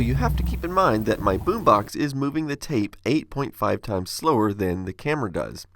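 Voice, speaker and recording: A man's voice: medium pitch, bright, forceful tone. A man in his forties hosting a tech video, explaining things in a conversational way. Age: 40s. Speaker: host of a retro technology video channel.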